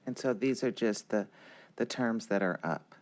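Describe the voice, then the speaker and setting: A woman councilmember asking questions in a halting, informal way at a public meeting. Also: extremely low pitch, a little mellow.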